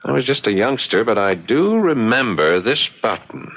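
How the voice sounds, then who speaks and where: An actor playing a shrewd, inquisitive colonial gentleman sleuth with a wry, authoritative, questioning manner, in radio drama style. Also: mid-range voice, big pitch swings, moderate pace. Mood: reasoning. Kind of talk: old radio drama acting. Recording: muffled vintage radio recording.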